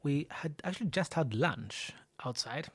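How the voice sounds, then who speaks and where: A man's voice: a little high, expressive, unhurried, slightly rough, no room sound. A young man co-hosting a podcast in casual chat, friendly and asking questions. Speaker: podcast co-host.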